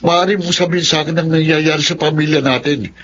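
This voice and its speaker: A man's voice: fairly high pitch, fairly even intonation, fast talker, a bit bright, natural voice. An actor playing a concerned, questioning son in his twenties, in a Filipino radio drama style. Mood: earnest, insistent. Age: 20s. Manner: earnest, questioning. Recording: muffled, faintly noisy radio drama audio.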